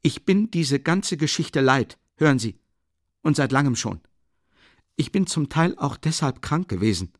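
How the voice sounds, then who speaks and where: Man playing a defiant, irritated young man, high and loud, audiobook reading. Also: big pitch swings, measured pace, no room sound, clean studio sound. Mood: nearly shouting. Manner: clipped, sharp, nearly shouting. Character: irritable young male student, tense and defiant.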